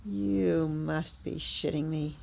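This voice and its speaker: A woman's voice: deep voice, fairly even intonation, slow, creaky, dark tone, exaggerated voice. An actress playing a defiant, foul-mouthed young woman captive in an audio drama, sarcastic and tough. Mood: indignant.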